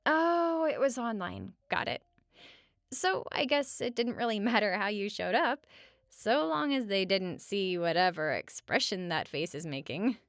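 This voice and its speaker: A woman playing a sarcastic but caring roommate in a conversational audio roleplay, talking casually with wry teasing and hesitant pauses. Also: mid-range voice, lively intonation, a bit bright, dry recording, studio quality. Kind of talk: conversational comfort audio roleplay at regular speaking volume.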